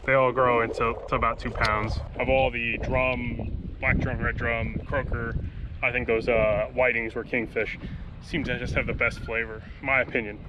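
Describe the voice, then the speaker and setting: A man's voice: mid-range voice, normal speed, lo-fi sound. Laid-back man narrating from a kayak. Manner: laid-back, chatty, excited exclamations over catches. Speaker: fishing vlogger. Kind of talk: casual running commentary while fishing. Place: kayak on open water under a pier, outdoors. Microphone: action camera mounted on kayak. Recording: outdoor wind and water noise. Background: water and wind ambience.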